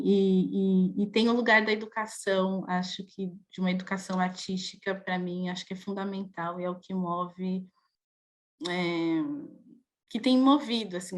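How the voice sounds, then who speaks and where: A woman on a discussion panel talks in a reflective, loose way and often hedges with "acho que". Her voice sits at a middle pitch, and she winds down to a calm close. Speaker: columnist and arts educator, panel speaker. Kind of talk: panel talk.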